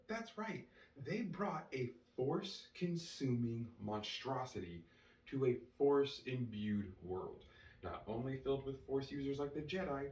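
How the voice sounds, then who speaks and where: A man giving an enthusiastic fan review as a solo host, excited and emphatic, drawing out key words. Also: fairly high pitch. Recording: roomy, distant mic with faint noise.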